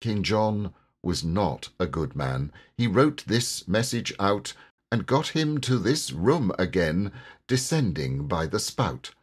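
Slow, theatrical man reading verse. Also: low-pitched, big pitch swings, breathy edge. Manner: measured, theatrical, deliberate phrasing. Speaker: poetry reader and narrator. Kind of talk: expressive storybook verse reading.

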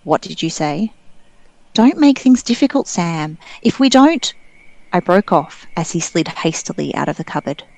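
Aussie woman reading thriller book out loud, expressive, lowish voice, dry recording. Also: moderate pace, creaky, no echo, poor audio. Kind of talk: expressive reading aloud from a psychological thriller novel.

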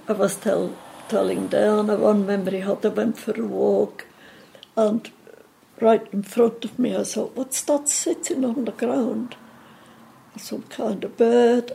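A woman in her eighties with a Swiss accent, gently recalling memories in an interview with hesitant pauses. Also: medium pitch, vocal fry, a little hiss or hum, poor audio. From Switzerland. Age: 80s. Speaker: interview guest. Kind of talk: casual reminiscing.

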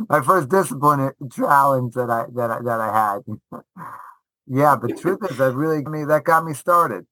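Middle-aged male interview guest, veteran teacher type. Forceful bright tone, dry sound, animated stop-start storytelling. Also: medium pitch, dry recording, rough recording. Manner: animated, halting delivery with repeated false starts.

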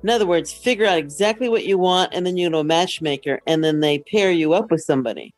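A woman's voice: fairly low pitch, fast, faint music behind. A middle-aged woman chatting casually and conversationally as a podcast co-host.

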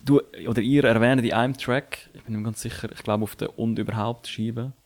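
A man's voice: medium pitch, steady tone, a little fast. A man co-hosting a casual interview, enthusiastic and joking. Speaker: co-host.

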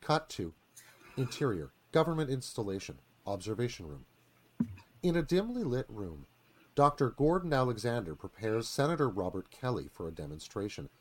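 A man's voice: mid-range voice, sing-song, moderate pace. A man reading screenplay narration dramatically for a live radio theatre performance.

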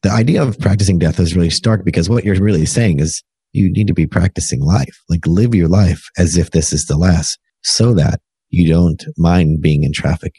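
A man's voice: sits low, warm tone, slightly rough, no room sound. A man interviewing an author, speaking in a thoughtful, encouraging, conversational way.

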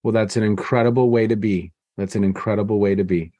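Low-voiced, appreciative male interview host. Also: fairly even intonation, fast talker, dark tone, no room sound, highs cut off. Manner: appreciative, affirming, repeats phrases. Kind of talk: conversational long-form interview.

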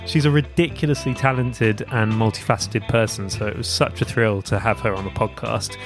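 A man's voice: fairly low pitch, steady tone, a little fast, music in the background. A man hosting a podcast, reading an enthusiastic intro and then interviewing a guest remotely. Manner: upbeat, enthusiastic. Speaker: podcast host.

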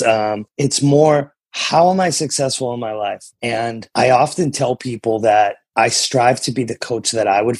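A man with an American accent and a gravelly, husky voice, captured close and dry. He talks like a coach being interviewed, earnest and emphatic. He often repeats a phrase to press a point home. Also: mid-range voice, darkish tone, dry recording. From New England, USA. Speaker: coach and entrepreneur, interview guest. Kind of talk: conversational interview, motivational.

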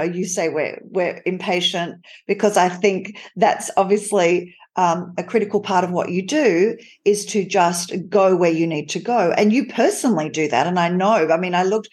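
Admiring woman interviewer. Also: fairly low pitch. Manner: warm, admiring, rambling questions. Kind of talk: conversational interview questions.

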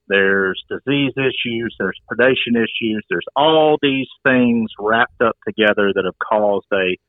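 A man in his forties from Georgia, a wildlife biologist, answering interview questions in a measured, matter-of-fact way. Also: fairly low pitch, no echo. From Georgia, USA. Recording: tinny phone line.

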